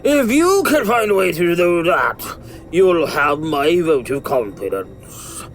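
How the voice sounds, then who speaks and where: Slow, haughty otherworldly ruler. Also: medium pitch, big pitch swings, faint music behind. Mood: imperious, approving. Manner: pompous, theatrical, deliberate. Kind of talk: audio drama acting.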